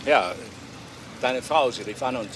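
An actor playing an agitated, defensive factory owner in a crime radio play, jumpy and repeating himself. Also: male voice, medium pitch, unhurried, background noise, natural voice. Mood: flustered. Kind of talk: dramatic radio play acting.

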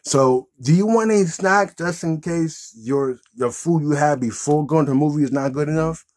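A man hosts a puppet show in a casual, improvised way. His voice is husky and unpolished, that of someone in his thirties. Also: a little high, moderate pace, a little mellow. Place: small indoor room with green backdrop.

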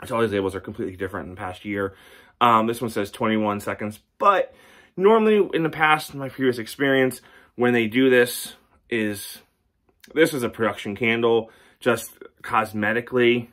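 A man's voice: mid-range voice, normal speed, fairly bright tone. A man in his thirties, a candle reviewer, chatting casually to camera at home, rambling with many ums and restarts. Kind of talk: casual product review vlog. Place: home room with record shelves.

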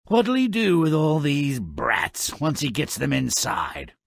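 An actor playing a gleefully malicious, sardonic little fairy-tale troublemaker in a video game, with a raspy, gravelly voice and a theatrical, gloating delivery. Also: male voice, fairly high pitch, very animated, slow pace, no echo, full character voice. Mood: sly, sardonic. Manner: sardonic, gloating, theatrical, swings from murmur to shout. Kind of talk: theatrical scripted game narration.

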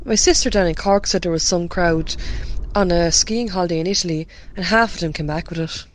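A woman's voice: on the low side, brisk, a little hiss or hum, natural voice. An Irish woman playing a chatty rural committee member in an amateur radio drama. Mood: matter-of-fact.

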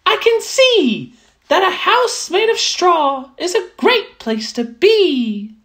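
Woman voicing an eager, very high-pitched little mouse. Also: very animated, takes it slowly, fairly bright tone, full character voice. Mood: delighted. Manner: exclaiming, musing aloud to itself. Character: little gray mouse, eager, picky house hunter. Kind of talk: children's storybook character voice.

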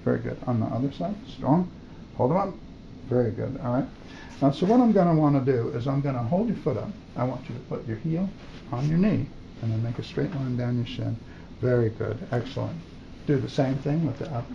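An older male doctor runs a clinical exam in short, encouraging commands. His voice is mellow and creaky, picked up a little dull and noisy in a hospital room. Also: medium pitch, a little fast. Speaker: doctor examining a patient.

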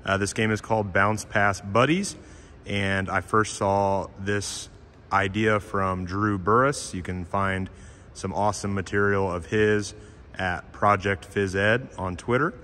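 Bright-voiced man explaining a gym drill. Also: fairly low pitch, steady tone, measured pace, in a large hall, a little hiss or hum. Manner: friendly and plain, with frequent "uh" fillers. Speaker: PE teacher and channel host. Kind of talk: instructional voice-over explaining a drill.

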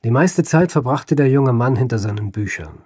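An actor playing a grave, melancholic doctor with a measured, understated delivery in a German radio play. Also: male voice, medium pitch, expressive, darkish tone, husky, no echo, clean studio sound. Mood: grave, reflective. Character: grave, melancholic family doctor recounting a patient's fate.

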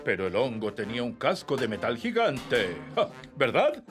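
A man's voice: mid-range voice, wildly swooping pitch, normal speed. A man voicing a good-humoured, encouraging cartoon cat father with a Latin American Spanish accent, over music. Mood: amused. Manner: jovial, encouraging. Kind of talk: animated children's cartoon dub. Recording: music behind the voice.

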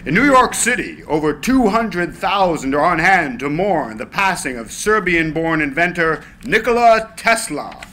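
A man's voice: on the high side, big pitch swings, a little slow, few pauses, bright, forceful tone, a little hiss or hum, heavily acted. A man reading the part of a 1940s newsreel announcer at a script reading. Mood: stirring. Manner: stirring announcer delivery.

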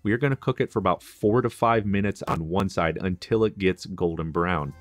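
A man's voice: deep voice, fairly quick. A man explaining a recipe step by step as a chef in a cooking tutorial, in a friendly, instructive way. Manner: instructive, friendly, practical kitchen tips.

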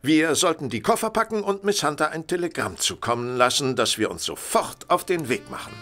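A man playing a brisk, authoritative detective in an audio drama, speaking formally and deliberately. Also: a little high, animated, a bit bright, soft background music. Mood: thoughtful. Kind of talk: German audio drama acting.

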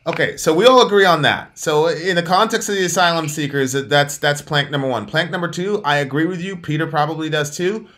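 Man, 30s, from Jamaica, emphatic, heated political debate, dark home room, webcam call, bright tone. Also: fairly high pitch, a little fast, few pauses. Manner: animated, emphatic, gesturing. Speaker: co-host.

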